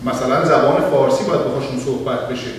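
A middle-aged man on a panel, answering an audience question in a measured, explanatory way with some hesitations, speaking into a handheld mic in a library auditorium. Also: medium pitch, fairly even intonation. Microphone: handheld mic, heard from far camera. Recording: echoey auditorium from far off, faint hiss.

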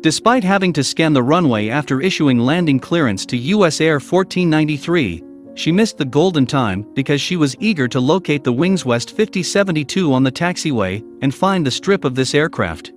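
A man's voice: on the high side, animated, normal speed, soft background music. A man reading scripted documentary narration as a narrator.

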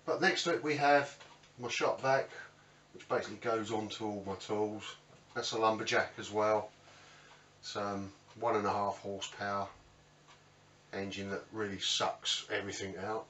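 Middle-aged British man, lowish voice, easygoing workshop host, recorded away from the mic in a small room. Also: steady tone, normal speed, a bit bright. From United Kingdom. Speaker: host of a woodworking channel. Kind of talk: casual workshop tour, unscripted. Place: small cluttered indoor home workshop. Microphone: camera mic a few metres away. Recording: roomy, distant mic, faint background noise.

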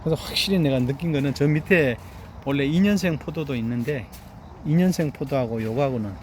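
A man's voice: medium pitch, fairly quick, a little mellow, a little hiss or hum. A middle-aged man, a grape farmer, proudly shows his harvest in a vineyard and keeps urging the viewer to look. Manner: proud, boastful, repeats "look here" and asks "eh?". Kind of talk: casual vlog walkthrough. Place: outdoor vineyard.

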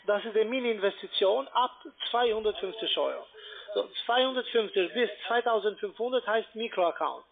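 A man with a foreign accent, speaking non-native German as he gives a telephone sales pitch. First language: not German. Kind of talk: sales pitch on a phone call. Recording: muffled narrow-band phone line.

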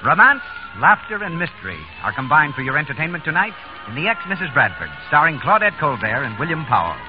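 A man's voice: on the high side, very animated, brisk. A man announcing on 1930s radio in a formal, polished, emphatic salesman's style. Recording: muffled 1930s radio broadcast with music.

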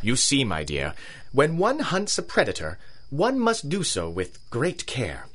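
Pompous colonel, wildly swooping voice. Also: male voice, mid-range voice, wildly swooping pitch, a little slow, fairly bright tone, husky, full character voice. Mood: grandiose menace. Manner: pompous, theatrical, genteel menace. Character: pompous British colonel, big-game hunter villain, coldly courteous. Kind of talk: radio drama acting.

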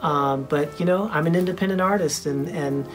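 A young man with a high voice, hosting a casual vlog from his small home studio, talking earnestly with many ums and restarts. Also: normal speed, music in the background. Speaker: independent musician and channel host.

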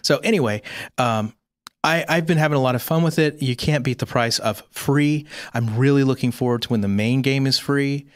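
A man's voice: medium pitch, normal speed, clean tone, dry recording, clean studio sound. A man co-hosting a podcast, chatting casually about games. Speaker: podcast co-host.

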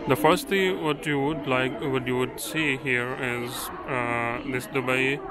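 Man, casual vlog narration, hesitant, very even pitch, unhurried, improvised. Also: a loud crowd. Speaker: vlogger. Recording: noisy outdoor site, ambient rumble.

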